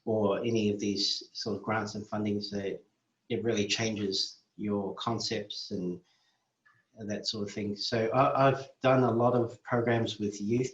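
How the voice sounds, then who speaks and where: A man from New Zealand speaking as a community worker, measured and thoughtful, often restarting his sentences. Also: on the low side, reverberant, highs cut off. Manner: measured, with frequent restarts and fillers. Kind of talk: interview monologue.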